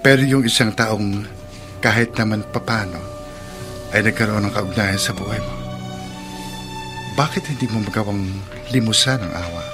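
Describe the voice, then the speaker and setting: An actor playing a principled husband in a radio drama, with a measured, earnest, moralizing way of speaking. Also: male voice, on the low side, music in the background, natural voice. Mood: earnest reproach. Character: principled husband, earnestly counselling his wife. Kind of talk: sentimental radio drama acting.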